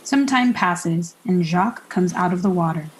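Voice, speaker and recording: A woman's voice: fairly low pitch, expressive, normal speed, rough recording. A young woman reading stage directions as scripted narration for a student radio play. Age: young adult.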